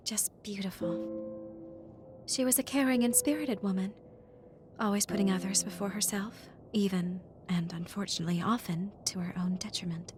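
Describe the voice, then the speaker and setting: An actress playing a caring young female healer in a video game, speaking in a gentle, articulate, earnest way. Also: mid-range voice, steady tone, normal speed, music in the background, natural voice. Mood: fond, wistful. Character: caring young female healer companion with a bitter family grudge. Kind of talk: video game cutscene voice acting.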